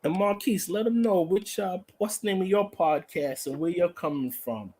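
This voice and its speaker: A man's voice: sits high, moderate pace, mellow. A man hosting a podcast, asking questions in an informal, slangy, chatty way. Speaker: podcast host, interviewer. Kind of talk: casual interview chat.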